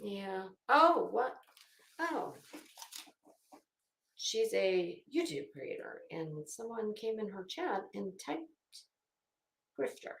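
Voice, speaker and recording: Slow-talking, sarcastic woman in an echoey room. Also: mid-range voice, sing-song, gravelly, slight background noise. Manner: sarcastic, taunting, theatrical emphasis. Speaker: YouTube commentary host. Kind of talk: unscripted opinionated commentary rant.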